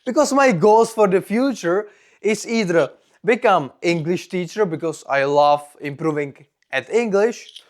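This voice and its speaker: A young man from the Czech Republic, a native Czech speaker with a strong Czech accent, gives a personal vlog monologue in deliberate, simple non-native phrasing. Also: high-pitched. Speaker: vlogger.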